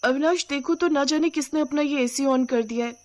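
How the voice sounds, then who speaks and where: A woman's voice: high-pitched, a little fast, a little mellow, voice pushed up, close to an everyday voice. A woman voicing an exasperated, complaining young bride in a family storybook tale.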